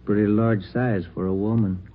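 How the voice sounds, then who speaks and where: An actor playing a conversational, grumbling detective sidekick in a 1950s radio drama. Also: male voice, fairly low pitch, flat delivery, normal speed, natural voice. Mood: casual, wry. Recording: tinny, noisy old radio recording.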